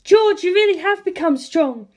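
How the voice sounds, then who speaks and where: A reader voicing a cheerful young woman warrior who praises her ally, in a high, light put-on voice, for an audiobook reading. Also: animated, normal speed, a little mellow. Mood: elated.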